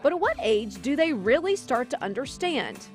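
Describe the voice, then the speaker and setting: A woman's voice: high-pitched, big pitch swings, normal speed. An American woman narrating a scripted health segment as a reporter. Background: background music.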